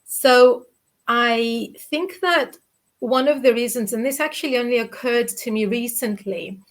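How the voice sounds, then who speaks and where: Cypriot greek accented woman in her 40s, slightly high voice, thinking out loud on a webcam call from home. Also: measured pace. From Cyprus. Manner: candid, reflective, many restarts and fillers. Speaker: guest, children's author. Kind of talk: interview over video call. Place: living room at home. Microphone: video call webcam.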